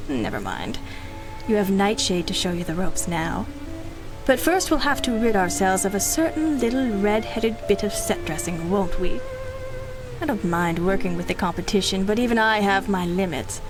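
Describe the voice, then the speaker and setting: A woman's voice: medium pitch, big pitch swings, moderate pace, music in the background, rough recording. An actress playing a cynical, ruthless female mercenary in a 1930s-style radio drama, speaking with arch, mocking condescension. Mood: coolly mocking.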